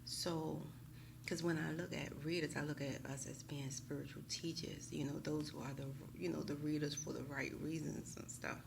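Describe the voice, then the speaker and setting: An American woman talking casually and emphatically to her viewers in a slangy, animated storytime style, often checking in with them. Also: low-pitched, normal speed, vocal fry, noisy background. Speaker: YouTube tarot reader.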